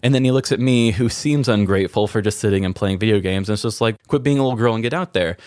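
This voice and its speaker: Low-voiced man in candid, reflective conversation. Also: fast talker, darkish tone, dry recording, studio quality. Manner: introspective, informal, occasional swearing. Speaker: podcast host sharing a personal story.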